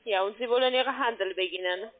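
A woman with a foreign accent, not a native speaker, giving a phone sales pitch as a call centre agent in halting, broken phrasing. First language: not German. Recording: muffled tinny phone line.

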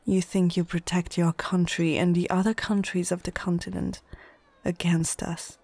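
A woman's voice: lowish voice, little pitch movement, normal speed, a little mellow, no room sound. A woman playing a proud, menacing orc chieftain in an ASMR fantasy roleplay, imperious and condescending, often dropping toward a whisper. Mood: grave, defiant. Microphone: close microphone, dry.

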